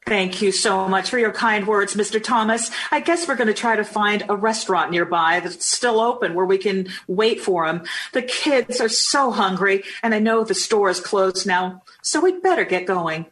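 A woman's voice: medium pitch, moderate pace, hardly any pauses, a bit bright, natural voice, low-quality recording. Actress as a worried, polite 1950s mother. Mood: grateful. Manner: earnest, gracious, hesitant. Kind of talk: old-time radio drama acting.

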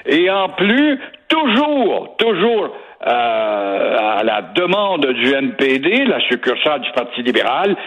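A man with a Québécois accent delivers pointed radio commentary, his voice a little husky. He talks in a sarcastic, mocking way and hammers points home through repetition. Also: mid-range voice, sing-song, slow, fairly bright tone, highs cut off. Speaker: regular commentator.

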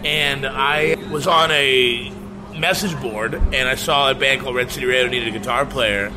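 A man's voice: medium pitch, fairly even intonation, moderate pace, background noise. A man in his thirties from Oklahoma, a band's guitarist, chatting in eager, laughing, rambling bursts with casual swearing. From Oklahoma, USA. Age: 30s. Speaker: band's guitarist, interview guest. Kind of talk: casual storytelling chat. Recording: music playing underneath.

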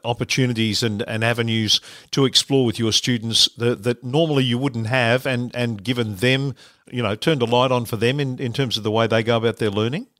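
A man hosts a radio interview, speaking at a middle pitch. His voice sounds dry and close. He asks his questions conversationally, rephrasing them as he goes. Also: fairly even intonation, a little fast, a bit bright, no echo. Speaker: radio host.

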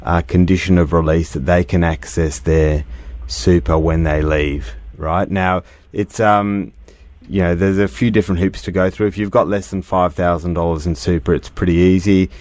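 Deep-voiced radio host. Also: male voice, steady tone, normal speed, a little mellow, no room sound. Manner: chatty, explains patiently with many fillers. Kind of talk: casual radio chat.

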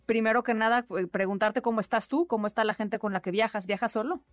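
A woman's voice: on the high side, fast talker, no room sound, faint music behind. A woman, hosting a news radio interview, asking brief questions and prompting her guest often. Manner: brief prompting questions, frequent short backchannel sounds.

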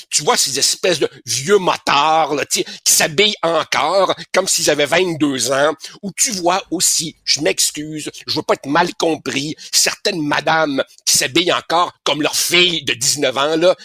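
Québécois commentator in his 60s, bright and husky, stammers emphatically. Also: on the high side, normal speed, no echo. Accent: Québécois French. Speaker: columnist. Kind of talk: opinionated radio commentary.

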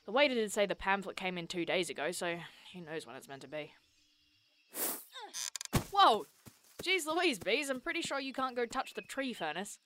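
An actress playing a brash, sarcastic young woman in an audio drama, slangy and quick to swear and tease. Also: mid-range voice, a little hiss or hum. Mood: startled, excited. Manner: slangy, swearing, teasing, excitable. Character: brash, foul-mouthed, sarcastic young woman on a monster hunt.

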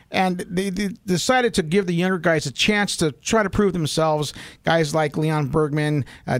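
A male sports show co-host chatting casually in an interview, halting and repeating phrases as he builds up to a question. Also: a little high, no echo.

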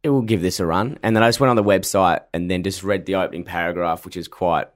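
A man's voice: sits low, fast. A man with an Australian accent, a comedian chatting casually and telling rambling stories with plenty of swearing. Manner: swears freely, rambling animated anecdotes. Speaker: comedian guest. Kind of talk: casual chat.

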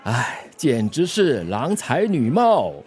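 A jovial older uncle in a radio drama, very animated in pitch. He is a mischievous, meddling matchmaker. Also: slow pace.

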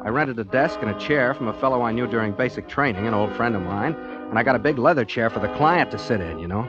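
An actor playing an eager, naive young ex-soldier turned private detective in a 1940s radio drama, with an American accent and a folksy, rambling, polite manner. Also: male voice, mid-range voice, expressive, quick pace, close to an everyday voice. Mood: wry, chatty. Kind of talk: 1940s radio drama acting, chatty first-person narration. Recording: muffled 1940s radio recording, music behind.

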